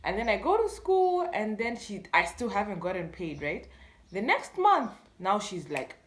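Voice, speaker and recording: A woman's voice: medium pitch, big pitch swings, moderate pace. A young woman with a Malawian accent telling a story in casual chat, animatedly acting out the dialogue as she goes. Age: young adult. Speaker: YouTube storyteller. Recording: echoey room sound.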